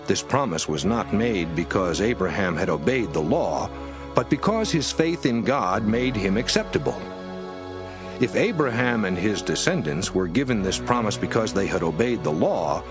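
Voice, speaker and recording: A man reading scripture as a scripted audiobook, with an emphatic, measured and rhetorical delivery. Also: mid-range voice, very animated. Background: music under the voice.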